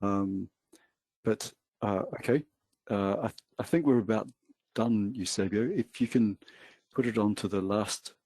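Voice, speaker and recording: A man with a New Zealand accent co-hosting a trading webinar in a relaxed, hesitant way with many fillers. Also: fairly low pitch, a little slow, slight background noise. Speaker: webinar co-host. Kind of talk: casual webinar talk.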